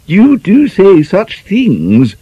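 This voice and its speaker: A man's voice: high-pitched, huge pitch swings, drawn-out words, dark tone, husky, no echo, muffled recording, pitched above the performer's own voice, exaggerated voice. A man voicing a prim young woman of twenty-four who protests indignantly at her friend's gossip.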